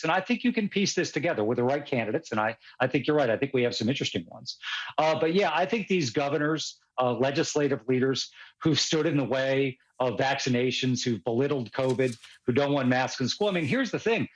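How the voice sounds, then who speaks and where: Emphatic man on a dull remote feed. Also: mid-range voice. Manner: forceful and emphatic. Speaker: political strategist guest. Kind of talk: cable news panel commentary.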